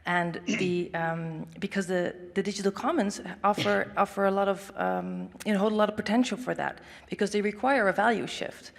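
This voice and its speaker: A woman with a gravelly, slightly low voice gives her statement on a conference panel, halting and restarting often. Long reverb from a big hall trails after her words. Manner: hesitant, many fillers and restarts. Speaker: panelist, think tank director.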